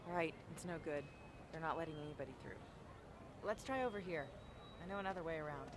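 An actress playing a capable, level-headed young woman journalist in an action video game, speaking briskly and practically. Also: low voice, lightly acted. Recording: game audio with clear background noise.

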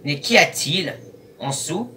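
A young man giving casual reaction commentary in a halting, rambling, disjointed way. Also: fairly high pitch, animated, pushed and bright. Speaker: YouTube host. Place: small room at home, indoors. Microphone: webcam at arm's length or more. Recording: noisy webcam, distant from the mic.

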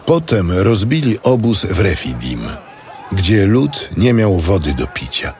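A man narrating a scripted biblical audio drama in a solemn, measured manner. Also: deep voice, very animated, a little mellow, soft background music.

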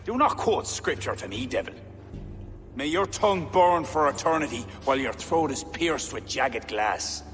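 A man playing a proud, pious middle-aged high king in a video game, formal and declamatory. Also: expressive, normal speed, a bit bright, slight background noise, natural voice. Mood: angry. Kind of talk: dramatic video game acting.

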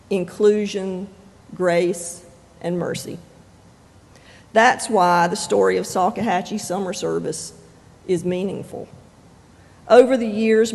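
A pastor preaching a sermon in measured, deliberate phrases with frequent pauses. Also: medium pitch, vocal fry, a little mellow. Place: church sanctuary. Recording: long reverb of a church sanctuary.

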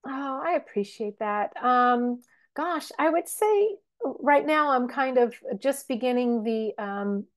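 A woman Bible teacher and author, a podcast guest, talking casually in a halting way, with many restarts and fillers. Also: high-pitched, animated. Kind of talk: casual interview chat.

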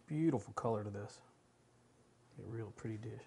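A man's voice: fairly low pitch, normal speed, creaky, warm tone, slightly rough. A man in his forties hosting a home cooking show, talking casually and enthusiastically while he works in a large kitchen. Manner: chatty, enthusiastic, talks while working.

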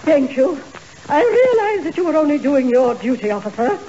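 Haughty society matron for old radio comedy: mellow tone, affected diction, swooping pitch. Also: female voice, sing-song, moderate pace. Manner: pompous, affected, high-flown wording. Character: haughty, indignant society matron, easily outraged. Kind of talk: 1940s radio comedy acting. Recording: muffled, noisy 1940s radio broadcast.

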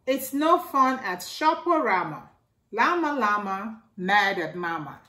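A middle-aged woman reading a rhyming picture book aloud to children in a slow sing-song way, filmed indoors at home by a camera a few feet away. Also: mid-range voice, very animated, pushed and bright. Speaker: storytime reader. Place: living room by a staircase, indoors. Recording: echoey room.

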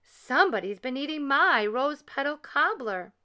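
A woman's voice: high voice, big pitch swings, normal speed, sharp tone, pitched above the performer's own voice, full character voice. A woman voicing a middle-sized mother bear, firm and motherly, in a storybook telling. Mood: indignant.

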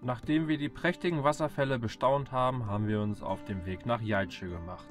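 A man's voice: medium pitch, steady tone, brisk, faint music behind. A man giving a scripted voice-over narration as a travel vlogger.

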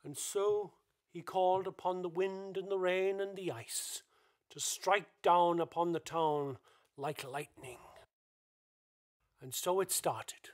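A man narrates a radio play from a script. His voice sits high, and he takes his time, telling the story slowly and dramatically. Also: steady tone.

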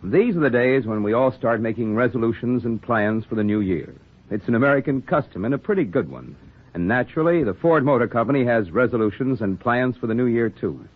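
Male 1940s commercial announcer; animated, husky, mid-pitched, muffled phone-like recording. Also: a little fast. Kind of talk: scripted 1940s radio advertising. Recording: muffled, narrow-band 1940s radio recording.

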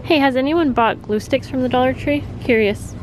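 Female shopping vlogger, high voice, casual and chatty, recorded in a noisy store. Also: normal speed, a little mellow. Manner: informal, friendly address to viewers. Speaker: vlogger, host. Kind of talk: casual chatty shop-along and haul commentary. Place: large discount store, indoors.

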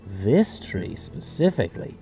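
Guy acting clever quirky time traveller, mellow voice but swoops around, audio drama, music behind. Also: fairly low pitch, big pitch swings, unhurried. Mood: wry, explaining.

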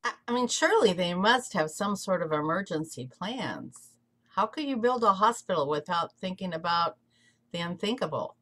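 Female committee member, lowish voice, conversational meeting talk on video call. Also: lively intonation, normal speed, pushed and bright. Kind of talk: meeting discussion.

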